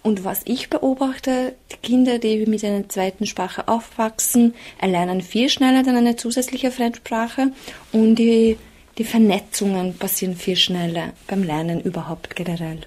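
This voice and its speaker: A woman's voice: on the high side, fairly even intonation, normal speed, darkish tone. A woman teacher whose first language is Hungarian, earnestly explaining in an interview. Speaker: primary school teacher. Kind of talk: interview answers.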